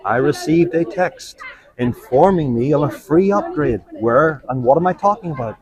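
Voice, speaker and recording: A man's voice: on the high side, expressive, normal speed. A man preaching on the street, earnest and persuasive, quoting scripture and joking with the people he stops. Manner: earnest, persuasive, quotes scripture, jokes with passers-by. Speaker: street evangelist. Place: outdoors on a street.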